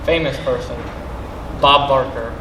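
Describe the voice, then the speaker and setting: A man's voice: mid-range voice, a little slow, background noise, lightly acted. A young man playing a laid-back, joking teenage jock in a school play, recorded on an echoey stage. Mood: smug, joking.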